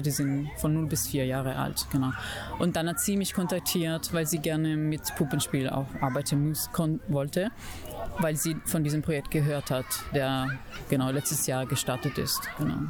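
Italian-accented woman, halting speech. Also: deep voice, background noise. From Italy. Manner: searching for words, many fillers and restarts. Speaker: puppeteer and interviewee. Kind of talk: interview answers.

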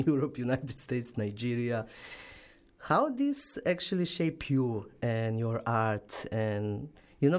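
A woman hosting an interview in a studio, speaking haltingly and searching for words with many fillers. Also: very deep voice, darkish tone. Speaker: podcast host.

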